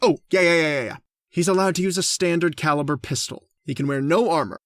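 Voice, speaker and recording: Man playing a polite, hesitant gentleman killer. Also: on the high side, fast, no room sound, lightly acted. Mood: reciting, distracted. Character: mild, hesitant gentleman hunter who kills for sport. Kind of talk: radio drama acting.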